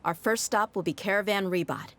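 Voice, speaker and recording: A woman's voice: mid-range voice, fairly quick, a bit bright, a little hiss or hum, lightly acted. A woman playing a tough, easygoing young mercenary woman in a video game, frank and casual in manner. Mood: respectful, decisive.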